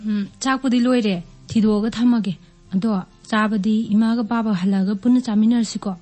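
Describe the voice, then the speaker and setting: A high, quick-spoken young-sounding wife in a radio drama , acted by a woman. She is anguished and emotional, longing for her husband, with faint music around her. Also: steady tone, darkish tone, lightly acted. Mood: casual, domestic. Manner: emotional, tearful delivery in distress.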